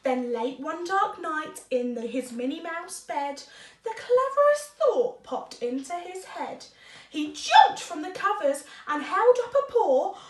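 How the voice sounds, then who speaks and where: A woman narrating a children's rhyming story expressively, deliberately, with dramatic emphasis. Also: very high-pitched, very animated. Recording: roomy echo, distant microphone.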